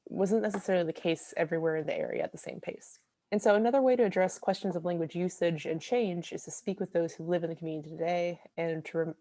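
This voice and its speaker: Young woman researcher, lowish mellow voice, careful explanatory slide talk, dry sound. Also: no echo. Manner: explanatory, careful, with frequent ums. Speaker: graduate researcher presenting.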